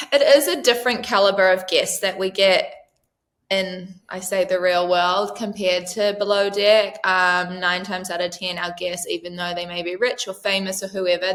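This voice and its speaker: A woman in her thirties from New Zealand talks through her answers in an easy, chatty way. She leans on "um" and "like" as she goes. Her voice is bright and clear, set at a medium pitch. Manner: chatty, frequent "um" and "like". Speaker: interview guest, yacht crew member. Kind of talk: casual interview answers.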